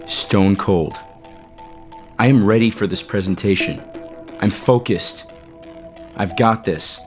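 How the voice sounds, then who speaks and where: Prickly, curt company boss. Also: male voice, fairly low pitch, slow. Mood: determined, pleading. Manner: curt, emphatic. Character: defensive male company chief, prickly and insecure. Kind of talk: audio soap opera acting. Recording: music bed under the dialogue.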